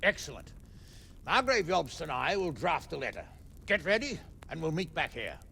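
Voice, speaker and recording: Gruff medieval lord, gravelly male voice, wildly swinging pitch, blunt, commanding, game cutscene. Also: very animated, moderate pace, sharp tone, a little hiss or hum, heavily acted. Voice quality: gravelly, rough. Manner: blunt, emphatic. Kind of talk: video game cutscene acting.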